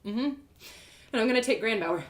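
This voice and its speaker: A woman's voice: a little high, lively intonation, normal speed, pitched above the performer's own voice, natural voice. A woman voicing an earnest, sensitive young woman adventurer in a fantasy story, speaking plainly. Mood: resolved.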